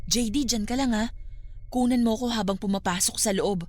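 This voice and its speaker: A woman's voice: a little high, normal speed, a little mellow, no echo, soft background music, natural voice. A woman acting a frightened young vlogger on a ghost hunt in a radio horror drama, with breathless urgency. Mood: eager, daring.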